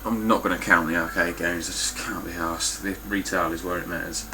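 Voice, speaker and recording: A man's voice: sits low, steady tone, fairly quick, very noisy. A man with a stuffy, nasal voice giving a casual, blunt spoken review of his game collection, with frequent ums and some swearing.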